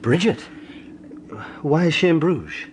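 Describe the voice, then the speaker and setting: An actor playing a troubled young gentleman lawyer, sombre and formal, in a period radio drama. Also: on the high side, lively intonation, slow pace, a little hiss or hum. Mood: concerned. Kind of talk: first-person radio drama narration and dialogue.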